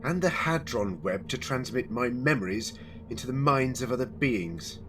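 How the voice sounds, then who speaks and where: A man's voice: medium pitch, lively intonation, moderate pace, a bit bright, slight background noise, natural voice. A man playing a defiant, sardonic time-travelling alien hero in a sci-fi audio drama, challenging and wry in his delivery. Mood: grimly defiant.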